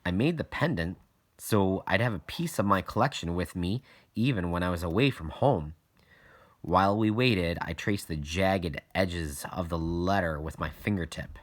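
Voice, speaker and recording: A man's voice: low voice, moderate pace. A man reading a children's novel aloud as a first-person narrator. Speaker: audiobook reader.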